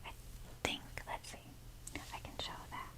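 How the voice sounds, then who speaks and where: A woman whispering softly and breathily in a casual, chatty, self-deprecating ASMR ramble, with the odd mild swear. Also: a little slow. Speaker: ASMR video creator.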